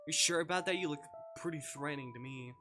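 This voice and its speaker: A man's voice: on the high side, quick pace, over music, close to an everyday voice. A man voicing a cocky, suspicious young man in a visual novel, startled by an intruder and speaking with sarcastic challenge. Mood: defiant, suspicious. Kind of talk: visual novel character reading.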